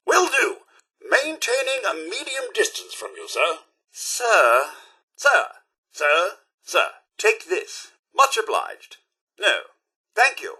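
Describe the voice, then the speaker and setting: Codsworth, a high, bright British robot butler. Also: male voice, slightly rough, exaggerated voice. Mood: obliging, then prim, reserved. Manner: formal, deferential, fussy, theatrical. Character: Codsworth, polite eager male robot butler companion. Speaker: companion character in a video game. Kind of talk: short game voice lines.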